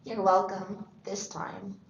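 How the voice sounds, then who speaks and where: A woman's voice: mid-range voice, little pitch movement, slow pace, gravelly. A woman playing a matter-of-fact school office worker. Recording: dull echoey room.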